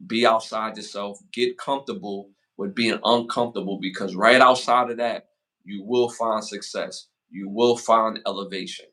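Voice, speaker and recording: A man in his forties hosting a casual podcast, giving motivational advice and shout-outs, repeating phrases for emphasis. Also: fairly low pitch, fairly even intonation. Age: 40s. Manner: hypes the chat with rapid shout-outs. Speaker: podcast host.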